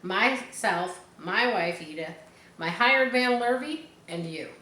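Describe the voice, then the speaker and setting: A woman voicing a proud, self-important farmer man in a children's storybook, with an emphatic, deliberate manner. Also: medium pitch, expressive, bright, forceful tone. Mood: confiding, proud. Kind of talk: children's storybook read aloud. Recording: roomy echo, faint background noise.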